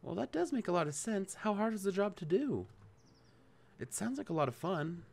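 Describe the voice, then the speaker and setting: A man voicing a shy, easily embarrassed young college woman in a squeaky raised voice. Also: sing-song, moderate pace, gravelly, exaggerated voice. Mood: curious. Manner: high-pitched, squeaky when embarrassed.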